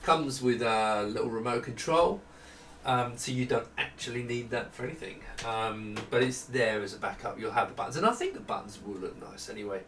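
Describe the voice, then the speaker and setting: A man in his fifties giving a casual, unscripted product walkthrough, explaining haltingly as he thinks aloud. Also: mid-range voice, animated, a bit bright. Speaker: maker presenting a product prototype. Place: small home office room. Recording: roomy, distant mic, faint noise.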